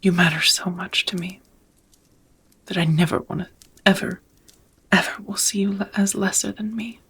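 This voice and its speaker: A woman voicing a devoted, nervous vampire roommate in an intimate ASMR roleplay, whispering softly in a halting, earnest, tender way. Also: mid-range voice, fairly even intonation. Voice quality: soft, breathy whisper.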